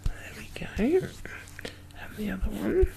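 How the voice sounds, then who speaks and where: ASMR roleplay: woman, unhurried and soothing caregiver, hushed whispery delivery. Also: mid-range voice, sing-song, gravelly. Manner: gentle, murmured, reassuring, soothing.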